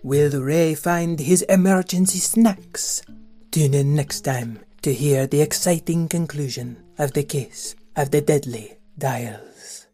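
A woman's voice: low-pitched, takes it slowly, mellow, soft background music. A woman narrator and host delivering scripted narration for a children's audio story.

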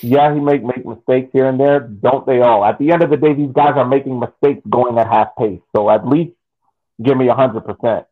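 A man's voice: medium pitch, moderate pace. A man co-hosting a casual sports podcast, talking emphatically and repeating himself. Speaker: podcast co-host. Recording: tinny phone-like line.

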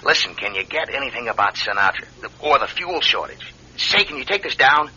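A man playing a brash, callous tabloid publisher in an old radio drama, glib and hard-nosed, with a raspy, forceful voice. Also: mid-range voice, sing-song, fairly quick, slight background noise. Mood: brash, dismissive. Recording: dull vintage radio recording.

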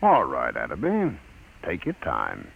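A man's voice: extremely low pitch, wildly swooping pitch, measured pace, vocal fry, slightly rough, dull audio, exaggerated voice. An actor playing a domineering, brusque male newspaper boss in an old-time radio drama. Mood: cool, firm. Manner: brusque, authoritative. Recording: vintage radio drama recording.

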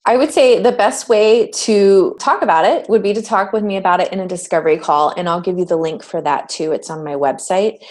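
A woman coach as a podcast guest, speaking in a reflective, warm way about her work. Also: mid-range voice, a little fast, hardly any pauses. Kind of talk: interview conversation.

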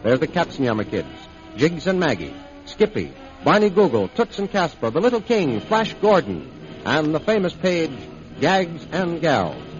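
Music plays clearly under a muffled vintage radio recording of a male announcer. His voice is fairly high and swoops with dramatic emphasis, in the scripted manner of a 1930s serial. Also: wildly swooping pitch, moderate pace.

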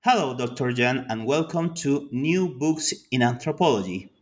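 A man's voice: mid-range voice, animated. Guy hosting an academic podcast, formal and polite, a few ums. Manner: polite, formal, slightly halting. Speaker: podcast host.

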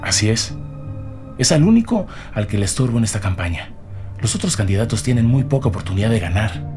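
Nervous widowed politician, lowish pitch, halting speech, detective audio drama, clear music underneath. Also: male voice, very animated, a little mellow. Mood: nervous, guarded. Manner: halting, with pauses and stammers. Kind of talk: dramatic podcast acting.

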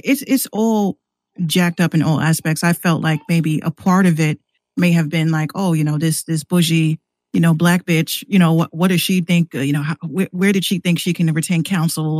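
An American woman chatting casually and candidly in a slangy, animated way, acting out exchanges as she tells stories. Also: low-pitched, dry recording. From New York City, US. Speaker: podcast guest, rapper and radio show host. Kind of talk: casual conversational storytelling.

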